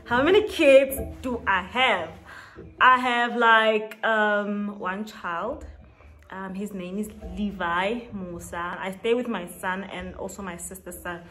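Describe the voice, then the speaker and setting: A woman in her late twenties talks unhurriedly straight to camera from a small room at home. Her chat is casual and personal, with frequent laughs and drawn-out words for emphasis. She sits at middle pitch and keeps an easy, leisurely pace. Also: sharp tone, soft background music. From South Africa. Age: 20s. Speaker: YouTuber. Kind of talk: casual get-to-know-me vlog. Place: small indoor room with shelves.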